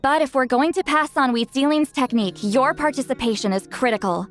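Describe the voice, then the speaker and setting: Woman playing proud young game shaman, highish voice, self-assured, slightly haughty, light music behind. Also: brisk, natural voice. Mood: instructive. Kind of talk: video game voice acting.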